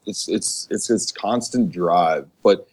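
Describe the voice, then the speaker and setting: Low-voiced man chatting casually. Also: slow, darkish tone. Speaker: guest, musician. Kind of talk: casual podcast chat.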